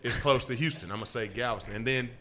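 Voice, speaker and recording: Animated man, bright voice. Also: mid-range voice, fast talker. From Texas City, Texas. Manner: animated, storytelling with many fillers. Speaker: podcast co-host. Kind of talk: casual chat.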